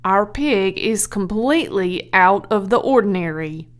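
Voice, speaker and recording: An earnest farmer man from a children's storybook, acted out by a woman reader. He is solemn and awestruck, speaking slowly and haltingly, with stammered starts. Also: medium pitch, animated, lightly acted. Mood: shaken, solemn.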